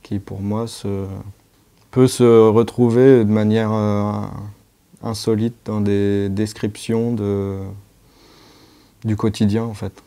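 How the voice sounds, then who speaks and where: A man who is a sound artist speaks in a documentary interview, thoughtful and halting. His voice is fairly low, mellow and dark, with little melody. He talks in a low-key, reflective way. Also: little pitch movement, clear, resonant tone.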